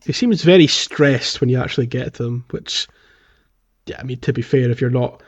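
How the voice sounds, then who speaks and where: A man's voice: medium pitch, normal speed. A man co-hosting a gaming podcast in casual, conversational talk. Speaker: podcast host. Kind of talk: casual game discussion podcast. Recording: slightly dull, lo-fi voice track.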